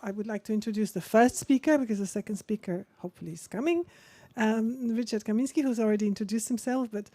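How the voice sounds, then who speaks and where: A woman's voice: a little high, fast talker, hardly any pauses, mellow, no echo. A woman moderating a policy workshop session, friendly and rambling, with frequent restarts. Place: conference room.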